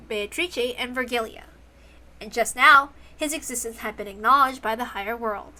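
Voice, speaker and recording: Bright, fairly high-voiced woman narrator. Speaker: reader. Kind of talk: scripted story narration.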